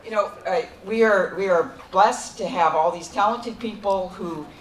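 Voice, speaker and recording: A man giving closing remarks at a festival as an organizer, earnest and halting, with fillers and repeated words. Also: sits high, slight background noise. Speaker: event organizer. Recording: echoey PA sound.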